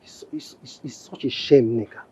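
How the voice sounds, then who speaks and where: A man's voice: high-pitched, big pitch swings, quick pace, mellow, husky, lo-fi sound, heavily acted. A man playing a stern, protective, exasperated husband in a film drama, with a Nigerian accent and emphatic, repetitive scolding. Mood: disappointed, warning. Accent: Nigerian English.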